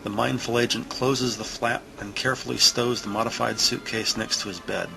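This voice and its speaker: A man narrating an audio drama in a deliberate, suspenseful scripted style with emphatic pauses. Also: medium pitch, fairly even intonation, creaky, over music, rough recording.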